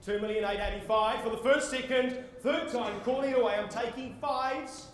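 An Australian man calls a property auction in a high, projecting voice. His delivery is theatrical yet formally courteous. The sound is heavily reverberant, with faint background noise in a conference hall. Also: fairly even intonation, moderate pace. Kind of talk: live property auction calling. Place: on stage in a large indoor conference hall.

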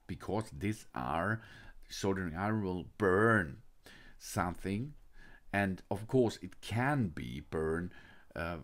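A low-voiced man talks slowly and deliberately through a tool kit demo. His pitch rises and falls a lot, and his phrasing sounds non-native, with hesitant fillers between words. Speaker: product reviewer. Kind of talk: unboxing and product walkthrough.

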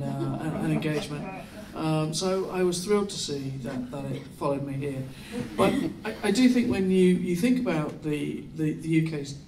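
A man's voice: on the high side, vocal fry, dark tone, reverberant, far from the mic, a little hiss or hum, poor audio. A British man from the UK speaking on a panel in a seminar room, hesitant with many restarts. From United Kingdom. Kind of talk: panel discussion remarks. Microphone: handheld mic, far camera.

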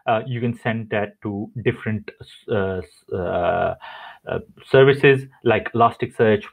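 A man presenting a technical webinar over slides, explaining step by step with many fillers and restarts. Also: lowish voice. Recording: tinny phone-like audio.

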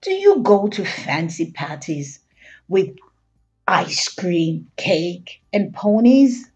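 A woman's voice: on the low side, very animated, slow, darkish tone, lo-fi sound. A woman voicing a curious, suspicious young child from a picture book, in a dramatic, wondering manner. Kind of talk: picture-book character read aloud.

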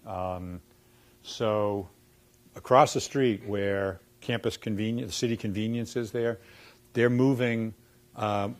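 A man's voice: lowish voice, a little mellow. Boston-accented older man telling wry stories. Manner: wry storyteller, quoting people with punchlines. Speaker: former dean giving a talk. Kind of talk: anecdotal lunchtime talk. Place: dark lecture room in front of chalkboards.

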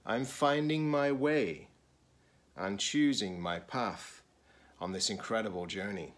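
Slow, low-voiced middle-aged man reading aloud. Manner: deliberate, with short phrases and pauses. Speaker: teacher-librarian. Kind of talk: children's picture book read-aloud.

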